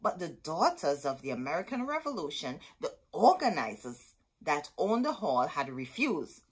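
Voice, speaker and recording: A middle-aged woman reads a history picture book aloud for children. Her voice sits a little low and sounds husky, with very wide swings in intonation. The delivery is dramatic and emphatic, like a storyteller. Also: big pitch swings, moderate pace. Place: indoor room with bookshelves.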